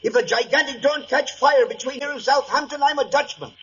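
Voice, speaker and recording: An actor playing an excitable seaman on lookout in an old-time radio drama, urgent and exclaiming. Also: extremely high pitch, a little fast, soft background music. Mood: alarmed. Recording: muffled, hissy old radio broadcast.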